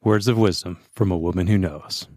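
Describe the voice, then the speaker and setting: A man's voice: low-pitched, moderate pace, dark tone, no echo, studio quality, faint music behind. A man with an American accent hosting a podcast in a conversational interviewing style.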